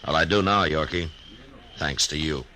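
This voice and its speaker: An actor playing a laconic, weary American frontier marshal, acting and narrating in an understated, terse way in a 1950s radio western. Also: male voice, extremely low pitch, a little hiss or hum. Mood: terse, steady. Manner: understated, hesitant pauses, terse. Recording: vintage radio recording, loud music behind.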